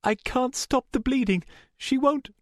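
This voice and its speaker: A man's voice: extremely high pitch, a little slow, no room sound, clean studio sound, pitched above the performer's own voice. A man voicing a frightened, guilt-stricken young woman in an audiobook, pleading urgently in a strained voice that threatens to break. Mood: shaky pleading.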